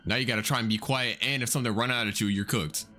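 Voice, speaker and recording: A man hosting a reaction video, excitedly talking through a horror story, swearing and thinking out loud. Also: medium pitch, fast, faint music behind. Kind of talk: casual reaction commentary.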